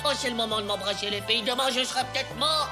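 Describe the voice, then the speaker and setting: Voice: mid-range voice, fast talker, over loud music, close to an everyday voice. An actor voicing a cheeky ten-year-old boy in a cartoon, whiny, jealous and theatrical, mangling big words. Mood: melodramatic wailing. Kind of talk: French animated comedy acting.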